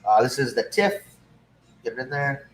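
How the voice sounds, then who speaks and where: A man co-hosting a cigar show, slightly hoarse, chatting casually and rambling with many fillers. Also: mid-range voice, sing-song, moderate pace. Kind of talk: casual livestream chat. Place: cigar shop lounge. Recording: roomy shop with faint ambience.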